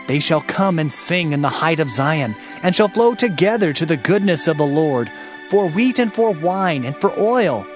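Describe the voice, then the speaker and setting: A man narrating scripture in a solemn, declamatory style, as a dramatic scripted reading. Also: fairly high pitch.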